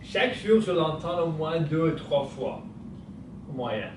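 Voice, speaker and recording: This is a man with an Iranian accent, a native Persian speaker, telling personal stories in a humorous talk. His voice sits a little high and he takes his time with each phrase. He is picked up from far off in a room with plenty of echo. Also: lively intonation, a little slow. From Iran. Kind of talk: personal storytelling talk to an audience. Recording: echoey room, distant mic, clearly noisy.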